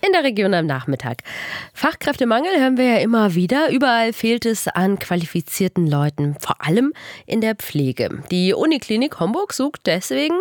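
A woman's voice: fairly high pitch, big pitch swings, normal speed, dry recording, faint music behind. A woman presenting a radio magazine in a chatty way, close on a studio microphone. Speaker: radio host.

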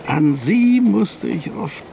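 An actor playing a brisk, formal army major. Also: male voice, high-pitched, big pitch swings, vocal fry, mellow, a little hiss or hum, full character voice. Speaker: army officer.

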